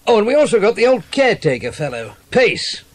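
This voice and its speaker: Man playing a high-voiced genial police inspector. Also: sing-song, a little fast. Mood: genial, pleased. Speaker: police inspector character in a children's audio drama. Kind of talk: dramatised children's audiobook acting.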